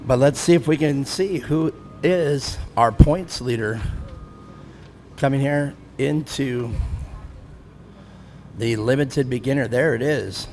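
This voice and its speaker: A man's voice: medium pitch, takes it slowly, pauses often, darkish tone. Fifties guy doing race announcing, chatty, jokes on himself, bit of background noise. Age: 50s. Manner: chatty, self-deprecating, laughs often. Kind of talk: live race commentary. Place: grandstand at an outdoor race track.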